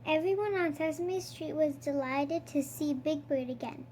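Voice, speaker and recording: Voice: high voice, moderate pace. A child reading a children's picture book aloud as a storyteller, with faint noise in the recording. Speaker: storybook reader.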